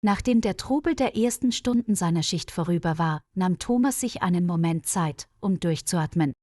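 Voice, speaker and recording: A woman narrating a scripted story in a clear, measured way for learners. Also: animated, a little mellow, no echo, clean studio sound. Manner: clear, measured reading with quoted dialogue lifted higher.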